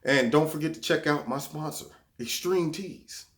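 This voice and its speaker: A man's voice: fairly high pitch, normal speed. A man hosting his own political commentary vlog, talking sarcastically and repeating words when he gets heated. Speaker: vlog host.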